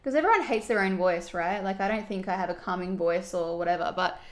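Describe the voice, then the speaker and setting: A woman's voice: mid-range voice, fairly quick. A woman streaming casually while doing her makeup, reading viewer comments aloud and reacting to them in a chatty, friendly way.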